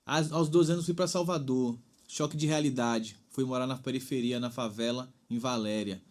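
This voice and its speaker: A man's voice: mid-range voice, fairly even intonation, normal speed. Man, reflective and rambling. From Bahia, Brazil. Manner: thoughtful, rambling with frequent "né?". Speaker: singer-songwriter guest. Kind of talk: reflective interview talk.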